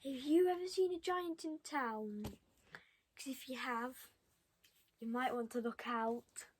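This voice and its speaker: A young child reading a storybook aloud, stopping for halting, chatty asides to the listeners. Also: sits high, slight background noise. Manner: halting, commenting on pictures to listeners. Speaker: storybook reader.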